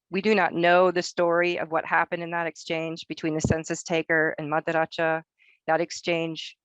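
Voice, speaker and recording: Gravelly-voiced woman reading an academic talk. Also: sits low, dry recording, low-quality recording. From Northern Norway. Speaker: researcher presenting at a roundtable. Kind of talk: prepared academic presentation.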